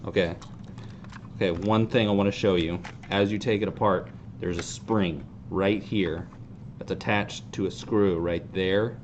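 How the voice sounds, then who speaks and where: Deep-ish guy doing a chill how-to, dry humor, noisy background. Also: steady tone, measured pace, dull audio. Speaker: host of a fan group's how-to video. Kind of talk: casual hands-on demonstration. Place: indoor tabletop video shoot. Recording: clear background noise.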